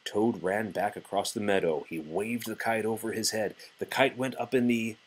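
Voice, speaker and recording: A man reading a children's story aloud to a child in an animated narrating style. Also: on the low side, normal speed. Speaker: storybook reader.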